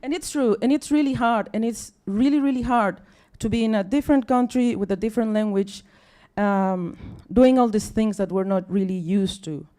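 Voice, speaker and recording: A woman's voice: medium pitch, moderate pace, hardly any pauses, warm tone. Tired Mexican woman speaking English. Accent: Mexican Spanish. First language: Spanish. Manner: chatty and apologetic, with many fillers and restarts. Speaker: guest speaker at a science talk night. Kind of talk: casual, self-deprecating live talk. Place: bar or event room with a live audience.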